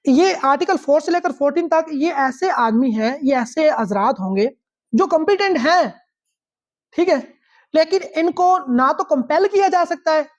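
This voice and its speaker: A woman with a Pakistani accent lecturing on law, reading out statutes and explaining them instructively, repeating phrases and checking understanding. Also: high-pitched.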